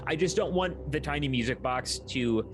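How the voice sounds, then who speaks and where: A man's voice: a little high, animated, normal speed, noisy background. A man working as game master, narrating a tabletop game in an explanatory, descriptive way.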